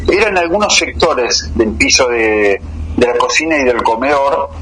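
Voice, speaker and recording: A man in his fifties with a Rioplatense Argentine accent, a guest journalist telling local cases in a radio interview, an unhurried and detailed storyteller. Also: medium pitch, expressive, a bit bright, slight background noise, rough recording. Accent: Rioplatense Argentine Spanish. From Buenos Aires, Argentina.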